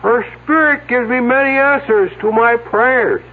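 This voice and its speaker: An actor playing a strange, solemn mystic in a 1970s radio drama, speaking in stilted, portentous phrases. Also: male voice, very high voice, unhurried. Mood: solemn, mystical. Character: mystical, solemn, strange man claiming ancient Indian origins. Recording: tinny, narrow old radio broadcast.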